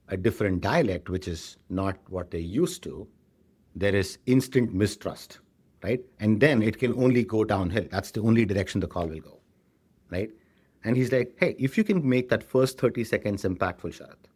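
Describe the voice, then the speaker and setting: A man's voice: low-pitched, a little mellow, breathy edge. A man with an Indian accent answering interview questions as a company chief, explaining conversationally and often checking in with a short tag question. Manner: frequently tags sentences with "right?". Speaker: tech company CEO being interviewed.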